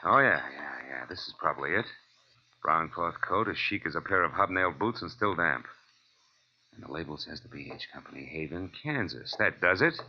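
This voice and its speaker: A tough private eye in a 1940s radio drama. His voice sits low, and he talks in clipped, wry slang like someone who has seen it all. The old broadcast recording is muffled, with noise behind him. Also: lively intonation, fairly quick. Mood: intent. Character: tough, wisecracking male private detective, narrating his case. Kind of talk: 1940s radio detective acting, hard-boiled narration.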